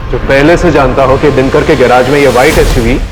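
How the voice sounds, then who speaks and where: An Indian man acting as an authoritative, dutiful police investigator in a TV crime drama, speaking deliberately. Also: medium pitch, fairly even intonation, over music, natural voice, low-quality recording. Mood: serious, explaining. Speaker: actor in a TV crime series.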